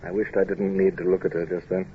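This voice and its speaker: A man's voice: low-pitched, level tone, fast talker, natural voice. A man acting a proud, self-conscious English naval captain in an old-time radio drama, brusque and clipped but hesitant when flustered. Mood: reflective. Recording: muffled, hissy old radio recording.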